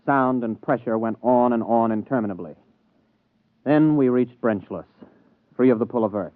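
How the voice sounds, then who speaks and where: A man's voice: medium pitch, moderate pace, no echo, phone-quality sound, natural voice. A man playing a flustered rocket captain. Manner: hesitant, stammering in dialogue. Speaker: narrator and lead. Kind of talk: 1950s radio drama acting. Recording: old telephone-thin radio broadcast.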